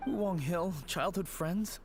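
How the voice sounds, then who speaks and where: A woman's voice: on the low side, takes it slowly, music in the background. A woman voicing a hesitant, reluctant companion character in a fantasy video game. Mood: doubtful.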